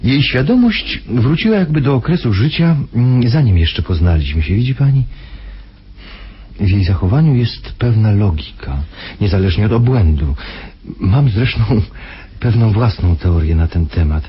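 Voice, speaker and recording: Radio drama husband: smooth-talking, guarded, very husky, lower-pitched, animated intonation. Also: male voice, heavily acted. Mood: smoothly explaining. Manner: polite, measured, plausibly regretful. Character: courteous, evasive husband, smooth-talking master of the house. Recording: dull, muffled radio drama recording.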